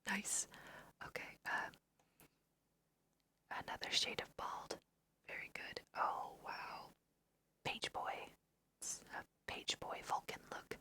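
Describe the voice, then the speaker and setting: A woman's voice: slow, long pauses, sharp tone. A woman whispering a casual, musing ASMR let's play, talking to her viewers as she goes. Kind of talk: whispered ASMR game commentary.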